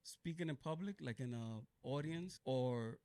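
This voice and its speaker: A man's voice: mid-range voice, unhurried, a crowd in the background. A man hosting a podcast interview, asking laid-back questions and laughing along with his guest. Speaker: podcast host.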